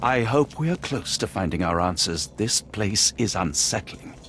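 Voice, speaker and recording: An actor playing a detective's loyal, anxious, good-natured male companion in a video game, with old-fashioned gentlemanly speech. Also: on the low side, animated, normal speed. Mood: uneasy. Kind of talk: dramatic video game voice acting. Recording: music bed under the voice.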